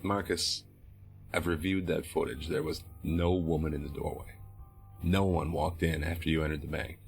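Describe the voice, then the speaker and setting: An actor playing a firm, patient and weary male police detective in an audio drama, questioning in a measured way. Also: rumbling low, little pitch movement, gravelly, faint music behind. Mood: measured, solemn. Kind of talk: naturalistic audio drama acting.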